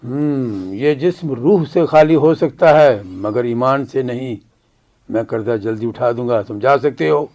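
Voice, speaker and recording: A man's voice: mid-range voice, a little slow, darkish tone, lightly acted. A man playing a proud old Kashmiri father in a radio drama, grave and indignant in manner. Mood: stern.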